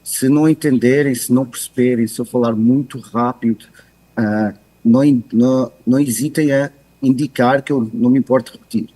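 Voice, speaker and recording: A man with a European Portuguese accent, joining by video call to give a measured, hesitant expert presentation with many pauses. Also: medium pitch, steady tone, dark tone, rough recording. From continental Portugal. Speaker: guest speaker, cybersecurity expert. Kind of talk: presentation talk.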